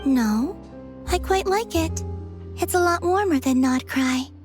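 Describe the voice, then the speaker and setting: A woman playing a serene, innocent young maiden in a video game, gentle, guileless and matter-of-fact. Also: very high-pitched, lively intonation, takes it slowly, music in the background, full character voice.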